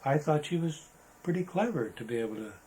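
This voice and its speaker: An older American man reminiscing casually in an interview, halting and rambling as he talks. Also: fairly high pitch, expressive, mellow, a little hiss or hum, low-quality recording. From Arizona, United States. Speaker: interviewee, veteran photographer. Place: indoors, photographer's studio.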